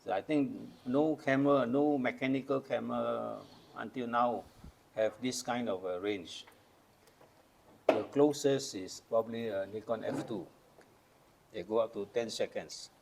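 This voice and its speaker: A man's voice: mid-range voice, gravelly, a little mellow. An older man from Malaysia casually demonstrating old cameras, explaining each step patiently. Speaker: camera collector. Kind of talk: casual show-and-tell demonstration.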